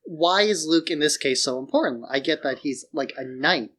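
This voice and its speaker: A man's voice: fairly high pitch, lively intonation, normal speed, a bit bright, slightly rough. A man co-hosting a podcast, musing informally about films and often dropping "like" into his sentences. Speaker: podcast co-host. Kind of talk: casual film discussion.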